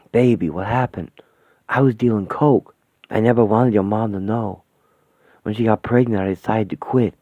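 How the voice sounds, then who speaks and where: A man voicing a rough, streetwise ghost father who speaks gruffly and tenderly. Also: lowish voice, no echo, pitched below the performer's own voice, close to an everyday voice. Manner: gruff street talk, soft tender delivery. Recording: dry tinny phone-like sound.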